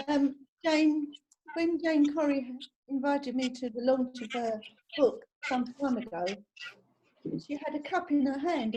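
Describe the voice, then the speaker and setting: A woman explaining casually and haltingly over a video call. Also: sits high, darkish tone. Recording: noisy online call with clicks.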